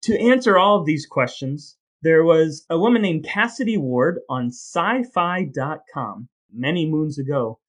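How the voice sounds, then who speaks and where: A man's voice: on the high side, lively intonation, a little slow, few pauses. A man co-hosting a casual comic podcast, playfully spinning absurd ideas in a matter-of-fact way. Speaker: podcast co-host.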